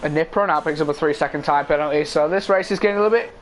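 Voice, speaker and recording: A young man calls an online race in a loose, casual way, his voice hoarse and fading as he keeps clearing his throat. His voice sits a little high for a man. A faint hiss runs under the recording. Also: very fast. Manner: casual, frequent throat clearing and filler ums. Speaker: race commentator. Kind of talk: esports race commentary.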